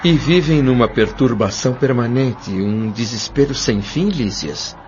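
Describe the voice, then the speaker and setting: A man's voice: mid-range voice, expressive, unhurried, background noise, close to an everyday voice. A Brazilian man playing an earnest, inquisitive newcomer to the spirit world in an audio drama, speaking politely with searching hesitation. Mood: troubled, questioning. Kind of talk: audio drama acting, questioning dialogue. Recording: dull lo-fi audio over music.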